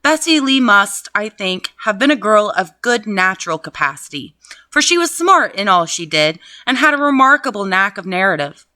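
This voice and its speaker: Bright-voiced woman reading a novel aloud. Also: mid-range voice, big pitch swings, moderate pace, no room sound, clean studio sound. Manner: laughs often, swears, chatty asides. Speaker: reader and host. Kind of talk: casual book reading with commentary. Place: at home.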